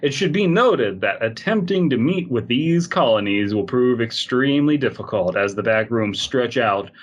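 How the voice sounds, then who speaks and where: A man's voice: medium pitch, lively intonation, normal speed, muffled recording. A man co-hosting a podcast, chatting casually and comedically, riffing in a joking, rambling way as he reacts to stories. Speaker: podcast co-host.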